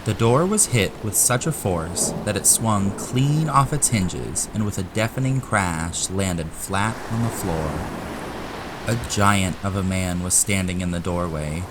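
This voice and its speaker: A man's voice: deep voice, a little slow, background noise. A man reading scripted narration for an audio drama, as the storyteller.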